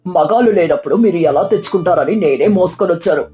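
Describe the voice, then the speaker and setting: A woman's voice: fairly low pitch, fast, warm tone, faint music behind, voice pushed down, natural voice. A woman voicing a kind, hearty man, an easygoing and appreciative guest in a storybook tale. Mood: friendly. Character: kind, helpful adult male guest, hearty.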